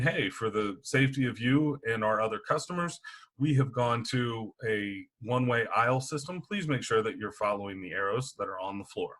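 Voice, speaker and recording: A man's voice: medium pitch, a bit bright. A man presenting sales training in an informal, explanatory style.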